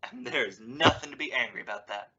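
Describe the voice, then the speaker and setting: A man's voice: mid-range voice, fairly quick, bright, forceful tone. A man playing a peacemaking father in an old-time radio comedy, reasoning in an emphatic, fatherly way. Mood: conciliatory, firm. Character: peacemaking father of a teenage girl. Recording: dull, band-limited audio.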